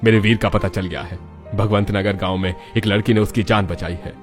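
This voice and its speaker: A man's voice: lowish voice, fairly even intonation, a little fast, over music, lightly acted. A man playing a dutiful middle-aged rich father in a dramatized moral story, serious, resolute and respectful.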